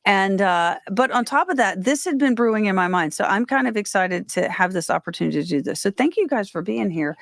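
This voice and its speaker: A woman's voice: mid-range voice, expressive, fairly quick, creaky, hardly any pauses, no echo, studio quality. A woman hosting a casual livestream, talking in a rambling, self-interrupting way. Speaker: livestream host.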